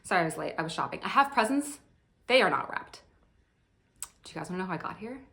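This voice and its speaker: Actress as a chatty, self-absorbed late party guest. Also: female voice, lowish voice, lively intonation, quick pace, gravelly, sharp tone, exaggerated voice. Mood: breezy, scattered. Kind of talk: theatrical monologue performance.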